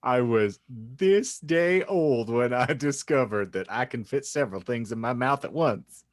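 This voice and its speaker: Guy podcast host reading stuff out, wry and mock-solemn, very animated pitch, close dry sound. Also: measured pace, dry recording. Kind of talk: comedic banter and dramatic story reading.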